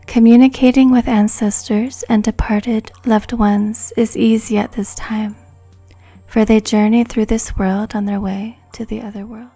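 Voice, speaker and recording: A woman giving a soft-spoken, hushed and soothing ASMR narration from a script. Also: on the high side, little pitch movement, husky, faint music behind. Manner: hushed, slow, soothing delivery.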